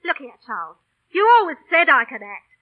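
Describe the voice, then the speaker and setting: An actress playing an ambitious, quick-tempered female street busker in an old radio drama. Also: high-pitched, sing-song. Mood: coaxing. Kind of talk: 1940s radio play acting. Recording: tinny, band-limited old radio recording.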